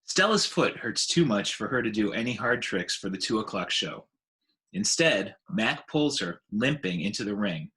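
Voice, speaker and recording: A man reading a children's novel aloud in an expressive storytelling manner. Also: on the low side. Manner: expressive, with voiced dialogue.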